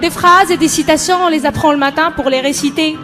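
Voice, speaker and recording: A woman's voice: high voice, steady tone, brisk, fairly bright tone. A young woman performs spoken-word poetry in an impassioned, emphatic, rhythmic delivery. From Morocco. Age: young adult. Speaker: student poet. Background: background music.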